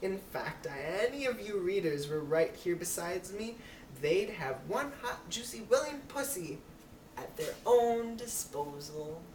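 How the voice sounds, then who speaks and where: Giggly lady reading a story out loud, kinda low voice, far from the mic, noisy roomy recording. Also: lively intonation, unhurried. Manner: giggly, breaking into laughs while reading. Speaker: amateur reader. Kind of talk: reading an erotic story aloud. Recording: roomy, distant mic with clear noise.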